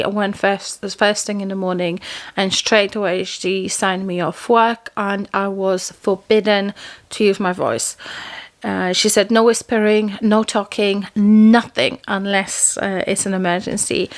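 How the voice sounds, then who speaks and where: A middle-aged woman, chatting to camera as a book vlogger in a rambling way with many restarts. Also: medium pitch. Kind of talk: casual chat to camera.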